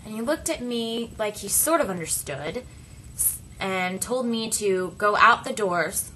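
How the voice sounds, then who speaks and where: A woman voicing a first-person story as an interpreter, speaking deliberately in short phrases with dramatic pauses. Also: medium pitch, animated, sharp tone, a little hiss or hum, low-quality recording.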